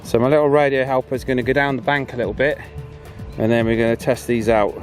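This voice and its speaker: A man's voice: mid-range voice, fairly even intonation, quick pace, music in the background. A man talking casually and informally outdoors as a vlog host, explaining a radio hobby in an encouraging way. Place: outdoors on a walk.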